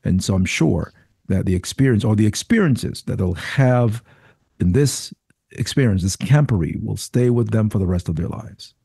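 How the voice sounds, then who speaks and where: A man's voice: on the low side, expressive, moderate pace, creaky, slightly rough, dry recording. A man, a senior pastor visiting as guest, talking casually and repeating words as he gathers his thoughts. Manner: warm-hearted, repeats words while thinking. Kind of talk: casual podcast conversation.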